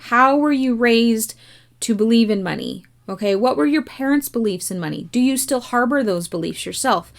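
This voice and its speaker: A woman giving a tarot message in a measured, coaxing way, asking the listener a string of reflective questions. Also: on the high side, lively intonation, gravelly. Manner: measured, coaxing, repeats pleas. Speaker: tarot reader. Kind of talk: slow, gentle reading with rhetorical questions.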